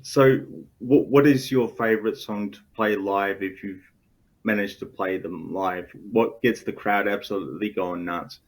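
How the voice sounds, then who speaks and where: Australian male interviewer, slightly low voice, halting casual questions. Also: poor audio. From Australia, Perth. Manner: hesitant, many ums, laughs easily.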